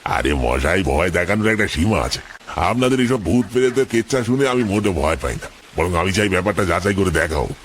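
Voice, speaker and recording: A man acting a deep-voiced, scoffing village skeptic. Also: a little fast, a little hiss or hum, close to an everyday voice. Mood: scoffing, defiant. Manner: scoffing, challenging. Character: Jagannath, boastful mocking village man, a skeptic of ghosts. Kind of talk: dramatized Bengali horror story.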